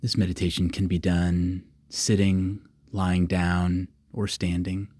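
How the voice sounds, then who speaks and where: Low, husky man guiding meditation. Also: no echo. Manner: calm, repetitive, unhurried cues with long pauses. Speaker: meditation guide. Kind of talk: guided meditation instruction.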